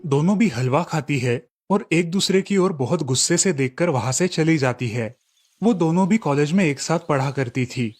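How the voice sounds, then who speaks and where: Higher-voiced man narrating, soft music behind. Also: expressive, normal speed. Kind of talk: scripted storybook narration for cartoon.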